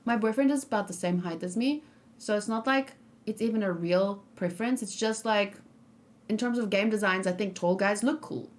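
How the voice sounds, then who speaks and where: A woman's voice: mid-range voice, moderate pace. Young adult woman streamer, casual reaction chat, frank and rambling, plenty of fillers. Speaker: streamer reacting to a game trailer.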